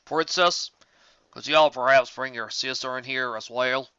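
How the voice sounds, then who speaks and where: A man's voice: medium pitch, a little slow, pushed and bright, smooth, no room sound, lo-fi sound. A man playing an earnest, worried country stallion in a fan audio story, polite and folksy. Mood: urgent.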